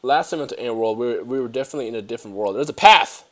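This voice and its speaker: A young man who grew up in Germany, chatting excitedly and rambling as he plays a video game, speaking into a headset mic at home. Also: medium pitch, rapid-fire. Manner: excitable, rambling, talks to himself while playing. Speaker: gaming youtuber. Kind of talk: casual let's play commentary.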